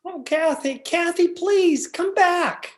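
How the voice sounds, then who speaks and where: A man acting a snobbish, refined young gentleman suitor in an old-time radio drama, haughty and genteel. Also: very high voice, slow. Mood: pleading.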